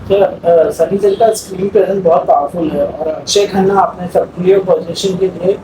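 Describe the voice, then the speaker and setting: A woman with an Indian accent asking prepared interview questions haltingly, mixing in English words, recorded from a distance in an echoey space. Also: fairly low pitch, fairly even intonation. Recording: distant, echoey, noisy room pickup.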